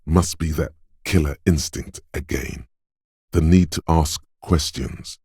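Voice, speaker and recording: A man voicing a detective's quick-witted inner instinct in a video game, narrating in a measured, knowing, dramatic way. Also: a bass voice, steady tone, dark tone, husky, dry recording, clean studio sound, heavily acted. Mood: tense, narrating. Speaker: voice actor. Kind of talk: second-person game narration.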